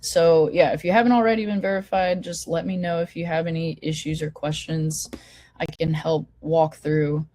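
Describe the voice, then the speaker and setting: A woman with a low voice, hoarse from a lingering cold, talks attendees through a website over a video call. Her delivery is informal and full of fillers and restarts. The sound is dry and close, with no room echo. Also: fairly even intonation, normal speed, mellow. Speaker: forum host. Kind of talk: casual online meeting walkthrough.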